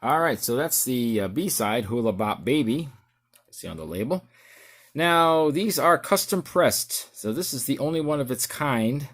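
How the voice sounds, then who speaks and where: A middle-aged man talks casually, presenting something of his own as an amateur musician. His pitch sits in the middle, and his delivery rambles and halts as he goes. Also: expressive, unhurried, a bit bright. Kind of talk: casual show-and-tell vlog.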